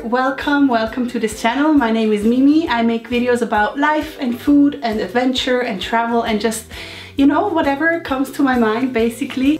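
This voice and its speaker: A woman in her thirties, a bit hoarse from a cold, giving an upbeat, encouraging motivational talk to her viewers. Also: sits high, echoey room. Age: 30s. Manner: upbeat, encouraging, chatty asides. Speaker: lifestyle vlogger. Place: home room.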